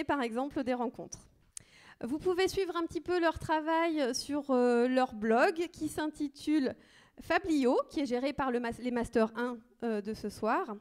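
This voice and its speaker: A woman's voice: high-pitched, darkish tone. A woman welcoming the audience and thanking partners on stage, with frequent hesitations. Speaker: event organizer. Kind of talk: introductory welcome speech. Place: theater stage.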